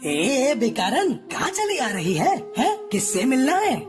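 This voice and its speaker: Woman doing a bossy rude gatekeeper bird, high n very up-and-down, music behind. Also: big pitch swings, a little slow. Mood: rude, scolding. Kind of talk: Hindi cartoon story acting.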